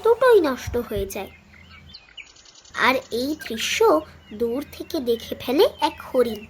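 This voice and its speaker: A woman's voice: on the high side, very animated, measured pace, a little hiss or hum. A woman narrating a scripted children's cartoon story.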